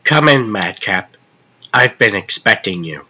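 A man playing a calm, weary costumed vigilante in a comic dub, earnest, understated and matter-of-fact. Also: lowish voice, unhurried, heavily acted.

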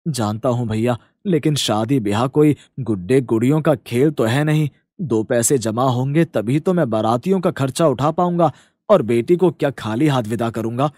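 A man's voice: mid-range voice, moderate pace, darkish tone, no room sound, clean studio sound, close to an everyday voice. Man voicing a humble, troubled village father. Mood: apologetic, troubled. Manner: apologetic, weary.

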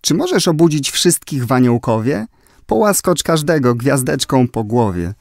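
A performer voicing a cheerful little angel in a rhymed children's Christmas audio play, with expressive storybook delivery. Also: mid-range voice, moderate pace, creaky, no echo, studio quality. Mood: bright, pondering.

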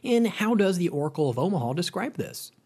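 A man's voice: high voice, brisk, breathy edge. A man with an American accent narrating a finance explainer in a chatty scripted voice-over, talking to viewers as guys. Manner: chatty, addresses viewers as guys, lively rises.

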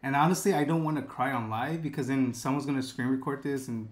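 A man's voice: mid-range voice, quick pace. A man in his twenties chatting casually on a live stream, laid-back and calling everyone "bro", reading chat aloud at his desk. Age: 20s. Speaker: livestreamer. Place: dark room with gaming chair and lights.

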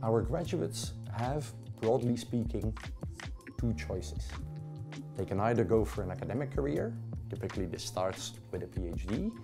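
A man's voice: fairly low pitch, moderate pace, gravelly, music in the background. A middle-aged man, a professor, explaining things emphatically in an interview. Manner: emphatic, lively rhetorical delivery. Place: office with bookshelves.

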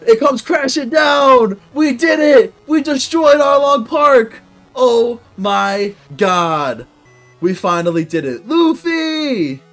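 A young man hosting a video game playthrough, cheering loudly as he narrates his progress from his desk. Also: very high-pitched, slow, soft background music. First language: English. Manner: excitable, cheering loudly at victories. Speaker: gaming video host. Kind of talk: let's-play commentary. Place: indoor room at a desk.

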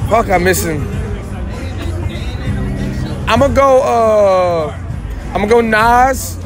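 A man's voice: sits high, animated, slow. A young American man being interviewed on a city sidewalk, chatting casually in slang and thinking aloud. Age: young adult. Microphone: handheld interview mic. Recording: music and crowd over street talk.

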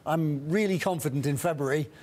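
A middle-aged man speaking as a guest strategist in a TV studio interview on a lapel mic, brisk, with frequent restarts and fillers. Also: fairly high pitch, a little mellow.

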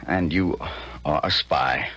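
Stern, husky military colonel. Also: male voice, extremely low pitch, slow pace, a bit bright, heavily acted. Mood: pained. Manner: formal, emphatic. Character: formal, lovelorn Confederate colonel, stern and agitated. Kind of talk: 1970s radio drama acting.

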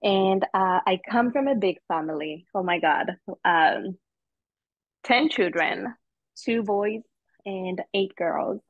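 A middle-aged woman from Zambia, a guest telling her personal story in an unhurried, earnest way with many ums. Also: mid-range voice, no room sound, phone-quality sound. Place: outdoors on a footbridge.

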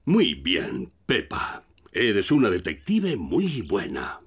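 A hearty, playful father pig for a children's cartoon. He speaks with a Castilian Spanish accent and very animated intonation. He sounds indulgent and jolly. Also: low voice, slow pace, full character voice. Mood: kindly.

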